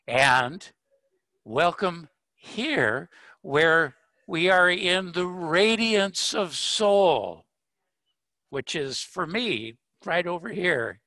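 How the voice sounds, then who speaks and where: A man delivering a poetic spiritual invocation in a solemn, measured manner, pausing between short phrases. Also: a little high, pushed and bright, no room sound. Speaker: speaker giving a spiritual invocation.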